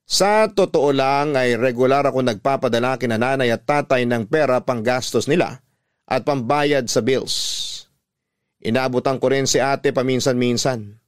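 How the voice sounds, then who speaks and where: A man's voice: medium pitch, clear, resonant tone, dry recording, studio quality, close to an everyday voice. An actor playing a generous younger brother who narrates his family story in first person for a drama podcast. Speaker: narrator of the story, telling it as Ralph.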